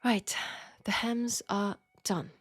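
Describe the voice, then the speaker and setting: A woman playing a flirtatious, caring Parisian seamstress in an intimate steampunk audio roleplay, speaking with a French accent and a soft, hesitant delivery. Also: medium pitch, fairly even intonation, slow pace, no echo. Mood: playful, teasing. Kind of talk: intimate scripted audio roleplay addressed to the listener.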